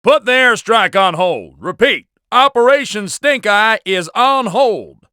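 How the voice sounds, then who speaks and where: Breezy American agent, bright animated voice. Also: male voice, high-pitched, unhurried, clean tone, dry recording, clean studio sound, heavily acted. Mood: urgent. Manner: casual, folksy, joking. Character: breezy wisecracking American male intelligence agent ally. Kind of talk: video game character dialogue.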